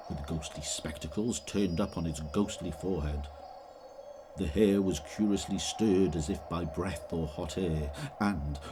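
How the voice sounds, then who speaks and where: A man giving a measured, theatrical narration of a scripted story in an audio drama, as narrator. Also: low-pitched. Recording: noticeable background ambience noise.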